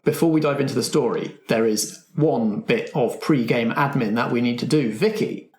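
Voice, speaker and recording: Male game master and show host, mid-pitched with a touch of huskiness, presenting to an audience. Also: normal speed, a little mellow, breathy edge. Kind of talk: scripted programme introduction and game hosting.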